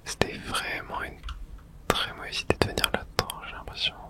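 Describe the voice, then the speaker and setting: A person whispering a casual ASMR video game playthrough, muttering to themself as they play. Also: normal speed. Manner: whispering throughout; casual swearing, muttering to self.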